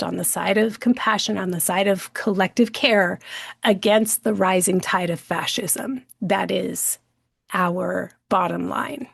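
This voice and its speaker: Woman podcast host, gravelly voice, dry close sound, chatty and enthusiastic. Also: medium pitch, no room sound. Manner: enthusiastic, chatty with many fillers. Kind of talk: casual podcast chat.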